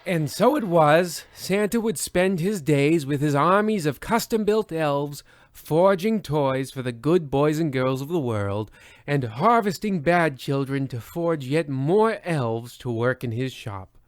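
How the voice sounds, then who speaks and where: A man's voice: on the high side, expressive, unhurried, dry recording, clean studio sound. A man playing a theatrical, mock-grave merchant who hosts an old-time horror radio parody. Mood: mock-grave, storytelling. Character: pompous theatrical merchant storyteller, horror-show host. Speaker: host narrator.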